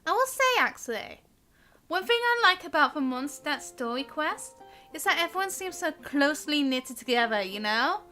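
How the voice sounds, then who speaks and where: A woman with a British accent giving casual, chatty, animated commentary as she live streams a game. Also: high-pitched, normal speed, faint music behind. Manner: giggly, animated, exclamatory.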